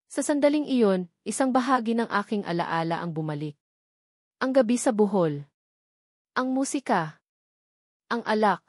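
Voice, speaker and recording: A woman's voice: medium pitch, darkish tone, no room sound, clean studio sound. A woman with a Filipino accent narrates a scripted romance drama in the first person, reading dialogue and narration in the same voice. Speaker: story narrator.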